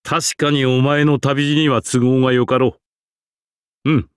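A man's voice: medium pitch, little pitch movement, a little slow, smooth, no room sound, lightly acted. A man acts a grand old king from a fantasy game. He speaks in a stately, archaic manner, proud yet genial. The sound is dry and clean. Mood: approving, genial. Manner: stately, archaic, self-satisfied. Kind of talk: Japanese game character voice acting.

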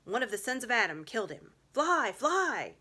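A woman's voice: on the high side, sing-song, moderate pace, bright, forceful tone, slightly rough, pitched above the performer's own voice, exaggerated voice. A woman voicing an urgent, alarmed wolf messenger in a storybook reading. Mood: frantic alarm. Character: frantic wolf messenger serving the witch.

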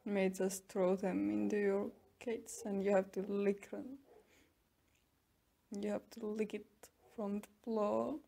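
A woman playing a smug, taunting tsundere captor in an ASMR roleplay, mocking and teasing with drawn-out pauses. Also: medium pitch, flat delivery, creaky, warm tone. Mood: grudging, threatening. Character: smug, taunting tsundere young woman keeping a captive werewolf.

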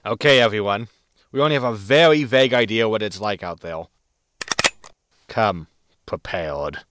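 A man's voice: on the low side, normal speed, background noise, lightly acted. A man playing a wry, exasperated spaceship captain in a sci-fi comedy audio drama, sarcastic and grumbling as he gives orders. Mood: wry, commanding.

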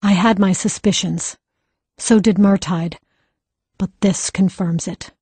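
An actress playing a learned healer-mage, measured, brisk and authoritative, in an audiobook. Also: female voice, medium pitch, fairly even intonation, a little mellow, breathy edge, dry recording. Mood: grave, explanatory.

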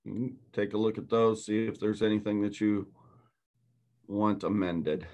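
A man's voice: on the low side, steady tone, normal speed, a little mellow. A man chairing a board meeting over a video call, walking through the agenda item by item in a businesslike way.

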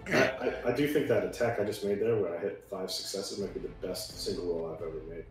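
A man's voice: low-pitched, a little fast, soft background music. A man playing a tabletop roleplaying game, chatting casually about his moves and rolls. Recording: very echoey, distant from the mic.

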